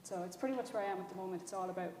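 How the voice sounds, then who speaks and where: A woman's voice: fairly low pitch, very fast, darkish tone. Kerry Irish woman in an echoey hall. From County Kerry, Ireland. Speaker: panellist, film writer-director. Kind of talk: panel self-introduction. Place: indoor conference hall. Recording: echoey hall, distant mic, background noise.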